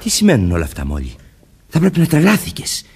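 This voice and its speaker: An actor playing a jealous, suspicious, hot-tempered young husband in a Greek radio drama. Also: male voice, fairly high pitch, wildly swooping pitch, husky, full character voice.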